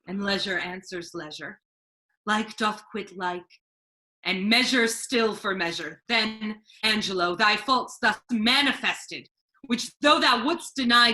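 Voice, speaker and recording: A woman playing a stern, authoritative male duke in a measured, formal Shakespearean stage reading. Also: medium pitch, pushed and bright. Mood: stern, condemning.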